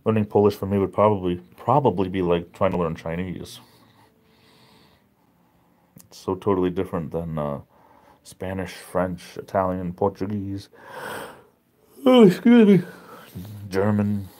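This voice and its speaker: A tired middle-aged man hosting a casual live stream, reading the chat aloud, relaxed and chatty and easily amazed. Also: low voice, measured pace, pauses often.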